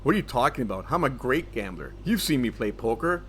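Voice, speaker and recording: A man's voice: mid-range voice, lively intonation, brisk, natural voice. A man playing a sarcastic, defensive, grumpy gambler in a scripted comedy audio drama. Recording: clear background ambience noise.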